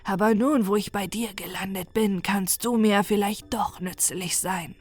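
A woman's voice: mid-range voice, steady tone, breathy edge, faint music behind. Woman whispering as a scornful otherworldly male being. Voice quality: airy unvoiced whisper, slow and drawn out.

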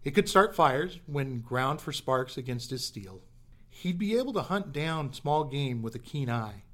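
A man's voice: mid-range voice, lively intonation, a little slow, fairly bright tone. A man narrating an adventure radio drama in a theatrical, scripted storytelling manner.

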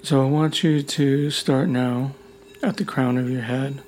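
A man leading a guided meditation in a soothing, deliberate way, leaving long pauses between short phrases. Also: medium pitch, steady tone, slight background noise. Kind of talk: guided meditation, gentle instruction. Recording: loud humming meditation tones throughout. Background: gentle music with sine tone and singing bowls.

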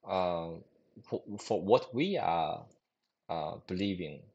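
Male founder guest, very low pitch, hesitant with lots of fillers and false starts. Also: animated, slow, vocal fry, a little mellow, husky, muffled recording. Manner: hesitant, many fillers and restarts, non-native phrasing. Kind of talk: casual interview answers.

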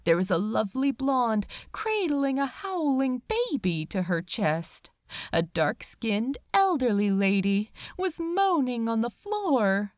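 Female amateur audiobook reader, lively melodic delivery, unhurried dramatic pauses, dry close sound. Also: a little high, no echo. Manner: measured, expressive storytelling with dramatic pauses. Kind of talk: dramatic scripted narration of fan fiction.

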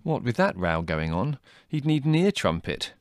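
Man acting an exasperated, sardonic young man, animated. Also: mid-range voice, normal speed, no echo, studio quality, voice pushed up, lightly acted. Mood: scornful. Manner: snapping, groaning, sardonic.

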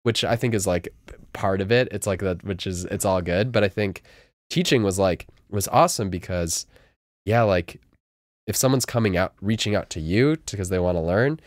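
A man's voice: deep voice, moderate pace, no room sound, clean studio sound. A man chatting casually in a laid-back, slangy way as an interview guest. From California. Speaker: guest, music teacher. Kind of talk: casual chat.

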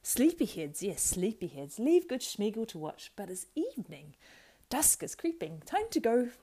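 A woman reading a storybook aloud and voicing a wretched, greedy male creature who argues with himself in hissing whispers and high whines. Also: wildly swooping pitch, normal speed, warm tone, slightly rough, exaggerated voice. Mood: fawning. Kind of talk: audiobook character voice.

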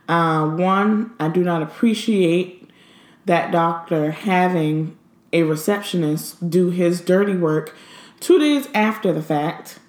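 A pregnant woman in her thirties venting in a casual monologue, emphatic and indignant, slowing down to stress her points. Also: lowish voice, roomy sound. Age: 30s. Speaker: pregnant vlogger.

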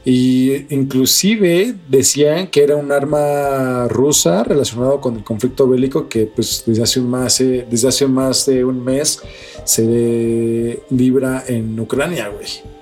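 A man's voice: mid-range voice, steady tone, slow, a little mellow. A man with a Mexican accent co-hosts a podcast with music playing behind him. He moves between reading news items and chatting casually. His talk is full of street slang and swearing. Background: background music.

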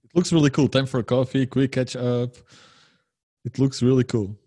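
A man's voice: medium pitch, steady tone, moderate pace, mellow, no room sound, clean studio sound. A man speaking as a guest in a casual online chat over a video call, rambling enthusiastically and repeating his phrases.

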